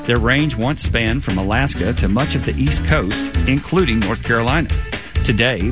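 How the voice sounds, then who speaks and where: A male announcer gives a polished promo read for an upcoming radio show. A clear music bed plays under his voice. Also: medium pitch. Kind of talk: scripted station promo. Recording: studio promo over music.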